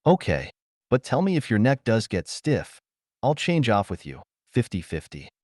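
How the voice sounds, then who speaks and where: A man's voice: medium pitch, wildly swooping pitch, normal speed, a little mellow, no room sound, clean studio sound. A man playing a flippant, wisecracking television producer in an audiobook, with airy, sarcastic banter. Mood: wry. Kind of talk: audiobook character dialogue.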